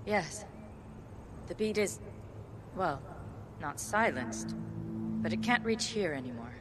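An actress playing a cool, cryptic female musician in a video game, measured and subdued. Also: lowish voice, very animated, loud music behind the voice. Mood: subdued, grave. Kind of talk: video game cutscene acting. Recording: clear background noise.

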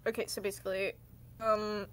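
A woman improvising a joking cartoon fandub with melodramatic, halting delivery. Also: mid-range voice, level tone. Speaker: amateur fandub voice actor.

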